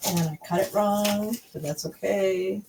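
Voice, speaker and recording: A woman hosting a craft tutorial, recovering from a cold, friendly and chatty with coughs and throat-clearing. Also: mid-range voice, steady tone, a little slow, noisy background. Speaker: card-making channel host.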